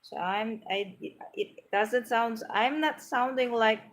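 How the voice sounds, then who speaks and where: A woman's voice: on the high side, slow pace, slight background noise. Encouraging Filipino-accented woman. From Philippines. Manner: earnest, encouraging, repeats herself while searching for words. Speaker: livestream host, English tips. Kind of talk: casual live stream chat, reading comments aloud.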